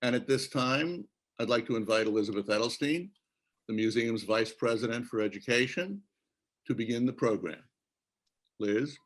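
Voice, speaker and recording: A man with a mid-pitched voice gives a formal, scripted welcome to a conference. He speaks in a measured, official manner, as an institution's host opening a session. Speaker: museum leader opening a conference.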